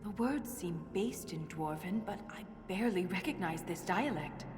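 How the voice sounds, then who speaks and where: A woman playing an earnest, scholarly dwarf shaper in a video game, speaking in a measured, reverent way. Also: fairly low pitch, lively intonation, recorded from a distance, close to an everyday voice. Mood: hushed, intent. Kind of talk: video game cutscene acting. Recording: cavernous reverb under loud music.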